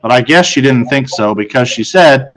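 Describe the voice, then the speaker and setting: A man's voice: mid-range voice, animated, moderate pace, fairly bright tone, low-quality recording. Man reading a children's storybook aloud. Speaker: reader of a children's novel. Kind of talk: read-aloud storybook narration.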